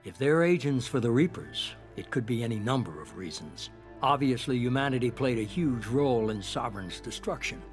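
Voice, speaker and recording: Guy voicing a shadowy middle-aged boss in a scifi game, calm n calculating, medium pitch, faint music behind. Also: lightly acted. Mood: cool, measured. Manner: measured, composed, authoritative. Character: shadowy, calculating middle-aged male leader of secret organization. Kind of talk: cinematic sci-fi game dialogue.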